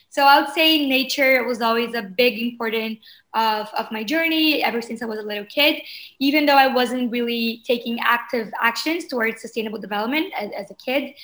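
Young woman, higher-pitched voice. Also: fairly bright tone. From Porto Alegre, southern Brazil. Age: 20s. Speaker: podcast guest, climate justice advocate. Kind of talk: conversational interview answers.

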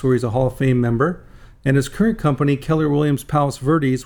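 A man's voice: mid-range voice, darkish tone. A man hosting a podcast who asks his guest questions in an upbeat, encouraging way. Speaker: podcast host. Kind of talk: podcast interview.

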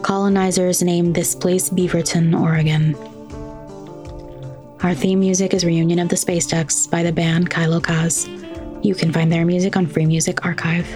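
A woman podcast host reads from a script in a slightly low voice. Her delivery is measured and even, with clear enunciation, over faint music. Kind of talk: scripted credits narration.

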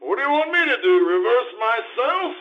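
A man's voice: extremely high pitch, sing-song, full character voice. A man acting a cranky, befuddled, blustery judge in a comic radio play performed on stage. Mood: exasperated. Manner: blustery, drawn-out, exasperated delivery. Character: cranky, befuddled, easily flustered old courtroom judge. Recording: dull live stage audio, faint noise.

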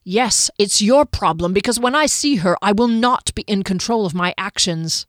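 A woman voicing a grumpy, vengeful male mercenary chief, talking in a gruff, curt and forceful way. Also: mid-range voice, animated, moderate pace, dry recording, clean studio sound, close to an everyday voice. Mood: angry. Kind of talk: audiobook character voice.

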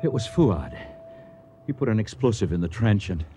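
A man's voice: lowish voice, expressive, normal speed, mellow, slight background noise, natural voice. An actor playing a weary male archaeologist in a radio drama, recounting a tragic dig. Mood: breathless, shaken. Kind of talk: radio drama acting with first-person narration.

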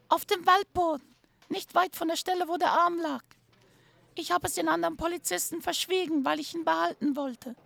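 A woman playing a fussy, melodramatic witness in a crime audio drama, with theatrical, drawn-out words. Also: fairly bright tone, no room sound, heavily acted. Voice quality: high, creaky. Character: fussy, melodramatic female witness, shrill and guiltily hesitant. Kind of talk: hobby German crime audio drama acting.

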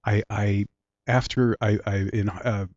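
A man's voice: fairly low pitch, fairly even intonation, measured pace, darkish tone, no echo. A man in his late forties with a Philadelphia accent, a guest telling stories in a dry, facetious way while congested from a sinus infection. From Philadelphia area, US. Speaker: guest, director and writer. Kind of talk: casual interview chat. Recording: dry, dull lo-fi sound.

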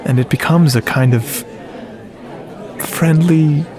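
A man's voice: a little high, slow pace, crowd noise. An actor playing a lovestruck, self-deprecating geek, narrating his story in a confiding, earnest way in a radio drama. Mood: eager, reflective. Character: lovestruck, self-deprecating, geeky male sound-effects artist. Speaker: narrator and lead character. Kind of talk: first-person radio drama narration, conversational. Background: music under the voice.

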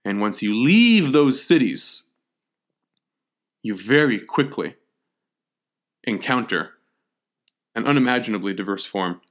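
A man's voice: mid-range voice, big pitch swings, slow, pauses often. A man hosting a podcast, talking through a topic in a conversational, lecture-like way with frequent fillers and restarts. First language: English. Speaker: podcast host. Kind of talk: casual lecture-style podcast monologue.

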